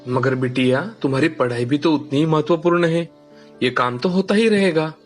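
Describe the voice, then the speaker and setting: Man voicing a humble village father, fairly high-pitched. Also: moderate pace, faint music behind, close to an everyday voice. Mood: affectionate. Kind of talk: children's animated story acting.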